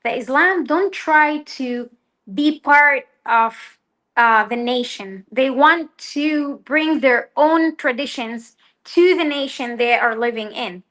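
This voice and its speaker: A young woman talks as an interview guest in a bright, fairly high voice. She speaks haltingly, restarting her sentences and filling the gaps with fillers. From Israel. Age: young adult. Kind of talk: casual interview conversation.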